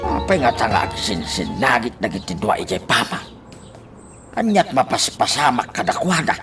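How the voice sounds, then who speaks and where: A man's voice: mid-range voice, big pitch swings, normal speed, music in the background, natural voice. An actor playing a nosy man who mutters and exclaims to himself as he watches others, in an Ilocano radio drama. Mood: suspicious, puzzled.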